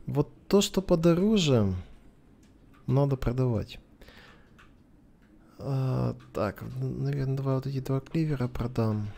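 A man's voice: medium pitch, a little slow, creaky, long pauses, dark tone. A man gives casual let's play commentary, thinking aloud as if to a companion. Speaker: gameplay commentator.

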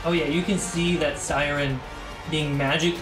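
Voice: medium pitch, steady tone, normal speed, rough recording. A game master narrating a tabletop role-playing game to the players. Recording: loud background music under the voice. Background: cinematic musical score.

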